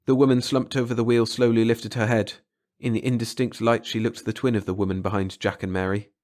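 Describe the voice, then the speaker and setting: A man's voice: on the low side, level tone, a little fast, a little mellow. A man reading a story aloud as an audiobook narrator, in a dramatic scripted style.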